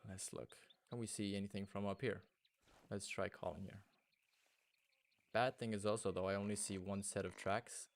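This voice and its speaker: A man's voice: fairly low pitch, fairly even intonation, moderate pace, pauses often, slight background noise. A man whose first language is German, chatting casually with viewers while streaming a hunting game and thinking aloud. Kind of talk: casual gaming livestream chat.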